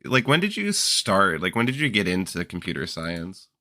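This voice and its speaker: A man hosting a podcast in casual chat, a little stuffy from a cold, relaxed and rambling. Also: sits low, moderate pace, no room sound, studio quality. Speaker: podcast host.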